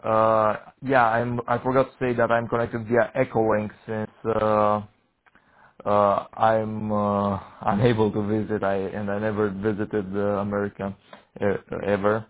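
A teenage Romanian man, Romanian being his first language, talks over amateur radio and keeps stopping to hunt for words. His low, flat voice comes through narrow and tinny, like a radio link. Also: a little slow. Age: teens. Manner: hesitant, searching for words, many fillers. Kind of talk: casual radio chat.

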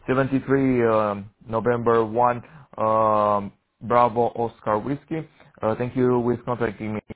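A man's voice: medium pitch, little pitch movement, measured pace. A teenage man from Romania, a native Romanian speaker, chatting over amateur radio, hesitant and often searching for words. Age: teens. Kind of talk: casual radio chat. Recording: tinny radio transmission.

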